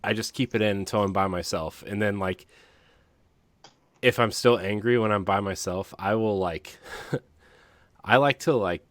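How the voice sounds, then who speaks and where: A man co-hosting a podcast in casual chat, talking in a rambling, halting, self-deprecating way. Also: lowish voice, fairly bright tone, no echo. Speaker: podcast co-host.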